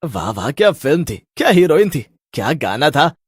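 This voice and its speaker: A man's voice: on the high side, big pitch swings, moderate pace, husky, no echo, clean studio sound. A man voicing a silly, film-loving ordinary fellow with theatrical exclamations in a Hindi cartoon story. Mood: carefree, delighted. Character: carefree, silly film-loving man who turns frightened.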